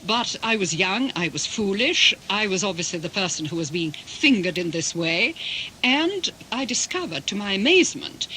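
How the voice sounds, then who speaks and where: An older woman, telling her story in a measured, articulate radio interview. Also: mid-range voice, animated, bright, forceful tone, slight background noise, poor audio. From Britain. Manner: measured, articulate, with careful restarts.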